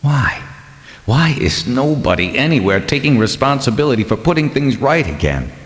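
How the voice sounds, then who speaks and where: A man's voice: medium pitch, very animated, moderate pace, long reverb tail, voice pushed up, natural voice. A man voicing a weary, embittered male wanderer, an indignant and impassioned idealist, in an audiobook. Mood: impassioned, despairing.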